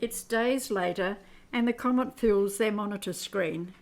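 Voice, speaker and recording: A woman's voice: mid-range voice, a little slow. A woman reading scripted narration for an amateur radio play.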